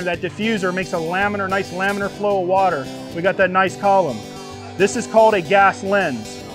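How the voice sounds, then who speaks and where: A middle-aged man with a fairly high voice teaches a practical class to a group. He explains things in a plain, folksy way and checks that people follow. He is recorded outdoors under a tent. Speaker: welding instructor. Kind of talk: live hands-on class instruction to a group. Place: outdoors under an open tent canopy before a seated group.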